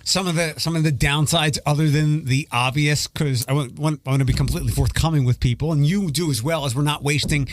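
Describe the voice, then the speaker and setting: A man hosts a casual interview in a slightly high voice. He jokes and teases his guests between questions. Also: fairly even intonation, a little fast, hardly any pauses, no echo. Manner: joking, teasing interviewer. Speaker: radio show host.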